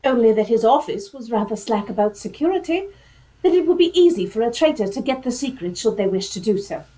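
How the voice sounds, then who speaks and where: A woman's voice: on the high side, animated, fairly quick, mellow, lightly acted. A woman acting a grieving, loyal young lady in a radio drama, earnest and formal. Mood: hesitant, confiding.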